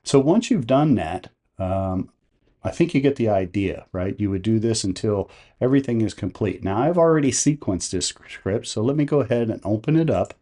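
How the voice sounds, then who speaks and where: A man hosting a tutorial, narrating software steps one by one. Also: on the low side, expressive, normal speed. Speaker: tutorial host.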